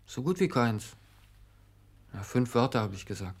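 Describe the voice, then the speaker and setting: A young village postman in a radio play, hopelessly in love and naive. His middle-pitched voice is earnest and simple, always pleading for help from someone wiser. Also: sing-song, moderate pace, breathy edge. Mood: shy, earnest. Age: young adult. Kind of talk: German radio play acting.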